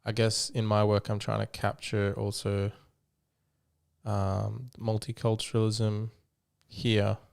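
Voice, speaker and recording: A man interviewing a guest in casual chat, reflective and halting, often restarting his sentences. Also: lowish voice, monotone, vocal fry, smooth.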